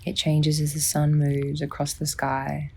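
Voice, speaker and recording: A woman softly and soothingly narrating a guided sleep meditation, unhurried, with long pauses and a breathy, near-whispering delivery. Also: low-pitched, monotone. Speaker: psychologist guiding a sleep meditation.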